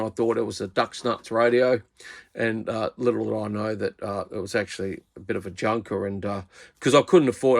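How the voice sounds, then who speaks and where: A man's voice: on the low side, creaky. An Australian man in his fifties, a radio hobbyist doing a casual video review in a rambling, laid-back way and using plenty of slang.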